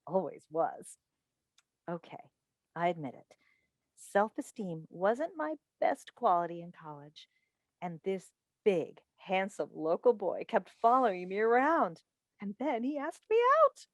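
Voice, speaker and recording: A woman's voice: lowish voice, no room sound, clean studio sound. A woman in a staged play reading, playing a chatty, coaxing radio call-in host and doting, meddling mother with an emphatic theatrical delivery. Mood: chatty, confiding. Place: indoors on a video call. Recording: recorded over video call.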